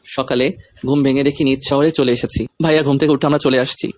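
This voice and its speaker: A man's voice: medium pitch, steady tone, a little fast, dry recording. A man reading a scripted first-person story, narrating events and speaking the lead character's lines.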